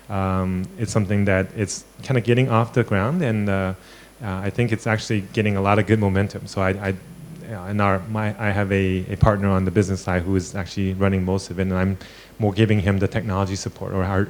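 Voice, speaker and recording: Low-voiced man with a halting delivery. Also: little pitch movement, a little hiss or hum. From born in China, grew up in the US. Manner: hesitant, many fillers and restarts. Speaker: tech founder interviewed. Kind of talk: business interview, conversational.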